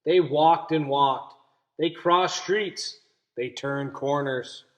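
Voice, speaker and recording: A man's voice: on the high side, slow, dull audio. A man reading a picture book aloud as a teacher, explaining and putting questions to young listeners. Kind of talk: children's story read-aloud with questions to listeners.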